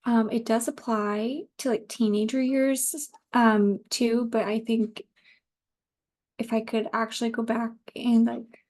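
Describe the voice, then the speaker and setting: Casting: woman podcaster, thirties, light higher voice with a soft, relaxed tone, informal and rambling. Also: slow. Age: 30s. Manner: informal, rambling, laughs easily, occasional swearing. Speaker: podcast host. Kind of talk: casual solo podcast chat.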